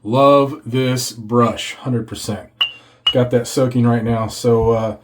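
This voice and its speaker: A man hosting a casual shaving video, congested and a bit hoarse from a cold, chatting as he lathers. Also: mid-range voice, steady tone, takes it slowly, noisy background. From Texas. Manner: chatty, reads product blurb aloud. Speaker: shaving channel host. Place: home office.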